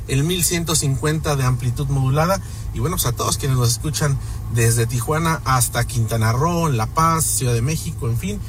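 A man's voice: fairly high pitch, slight background noise. A man with a Mexican accent talking conversationally as a radio host, explaining travel matters and repeating phrases for emphasis.

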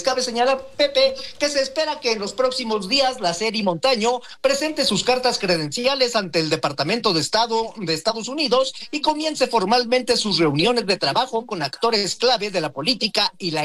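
A male reporter, delivering a news report formally and in a measured way. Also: high-pitched, fairly bright tone.

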